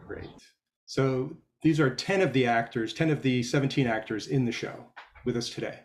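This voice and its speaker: A man moderating a video call, briskly introducing students one by one. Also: medium pitch.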